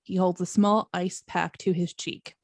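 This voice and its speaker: A woman's voice: on the low side, fairly even intonation, measured pace, vocal fry, darkish tone, no room sound, clean studio sound. A woman reading screenplay stage directions aloud as narrator in a scripted table read.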